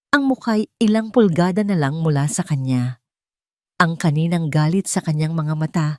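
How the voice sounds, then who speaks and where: A woman with a Filipino accent reads a scripted dramatic story in a measured way, with pauses for effect. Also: sits low, a little mellow, no room sound, studio quality. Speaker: narrator.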